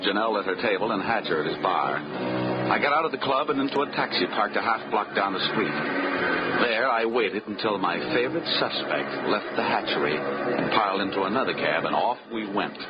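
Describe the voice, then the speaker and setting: A hard-boiled, wisecracking insurance investigator, played by a man, with a lowish voice and dry, wry narration. Loud music backs the muffled 1940s radio broadcast. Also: lively intonation, a little fast, close to an everyday voice. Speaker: lead actor and narrator. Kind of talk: 1940s radio acting with first-person narration.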